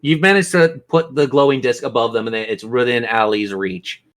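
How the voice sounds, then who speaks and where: A man's voice: medium pitch, normal speed, fairly bright tone. A man acting as game master, narrating and refereeing a tabletop fantasy game in colloquial language and speaking directly to his players.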